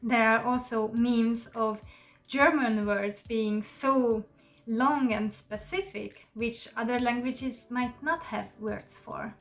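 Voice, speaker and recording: A woman whose first language is Hungarian talks casually to camera in English as a channel host, relaxed and chatty with soft fillers. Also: fairly high pitch, slow, soft background music.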